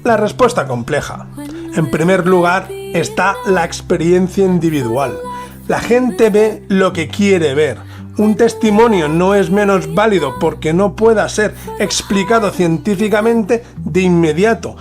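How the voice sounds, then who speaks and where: A man from narrates a scripted mystery documentary in a solemn, dramatic manner with deliberate pauses. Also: high-pitched. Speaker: podcast host narrator.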